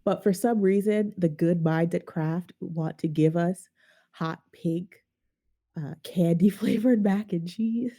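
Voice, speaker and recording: Nasal, congested woman with a low, gravelly voice. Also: takes it slowly, warm tone. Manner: wry, rambling, laughs often. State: nasal from a sinus infection. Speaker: podcast host. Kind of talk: casual podcast chat.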